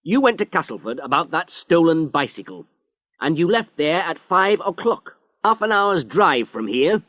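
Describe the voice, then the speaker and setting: A man voicing a big, stern police inspector who scolds and mocks loudly. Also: on the low side, animated, a little slow, no echo, dull audio, voice pushed up. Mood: shouting. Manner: loud, scolding, mocking.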